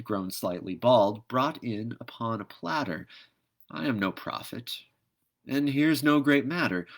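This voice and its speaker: A man gives an expressive poetry reading at a slow, deliberate pace. His pitch sits in an ordinary male middle range, and he shapes the phrases theatrically, leaving dramatic pauses between them. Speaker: poetry reader.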